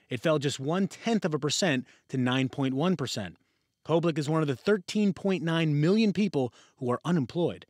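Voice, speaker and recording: A man with an American accent reading scripted news as an anchor. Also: mid-range voice.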